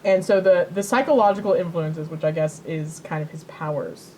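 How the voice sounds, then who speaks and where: A woman's voice: low-pitched, moderate pace, mellow, slight background noise. A woman co-hosting a podcast in casual chat, rambling and repeating herself as she tells things. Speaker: podcast co-host.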